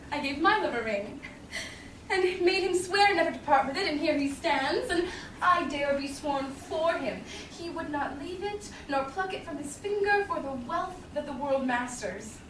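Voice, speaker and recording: A young woman playing a proud, reproachful, sharp-witted noble wife in a staged Shakespeare reading, declaiming indignantly. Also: high-pitched. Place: small indoor theatre stage. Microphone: far audience camera. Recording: roomy, noisy distant audience camera.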